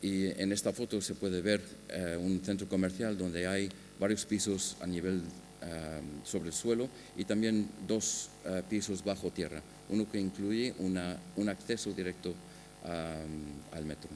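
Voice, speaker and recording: A man giving a conference talk on a panel, speaking haltingly with many filler sounds and restarts. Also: deep voice, steady tone, a little mellow. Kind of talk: conference presentation. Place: large conference hall. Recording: long hall reverb with faint hum.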